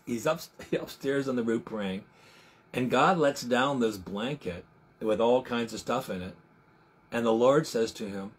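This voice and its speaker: A man in his sixties with a husky, medium-pitched voice teaches from the Bible in an informal sermon. He talks conversationally and haltingly, often doubling back to rephrase. He is recorded indoors in a small room at home, speaking to a camera. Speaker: preacher.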